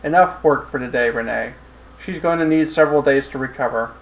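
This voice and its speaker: A man's voice: medium pitch, fairly quick, natural voice, rough recording. A man voicing a teasing, commanding female general and political officer with a Russian accent in an audiobook story. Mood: playful, assured. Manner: teasing, sensual.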